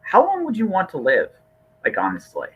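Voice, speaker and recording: A man's voice: high voice, huge pitch swings, moderate pace, breathy edge, phone-quality sound. A man talking casually as a podcast host, earnest and rambling, often restarting his phrases.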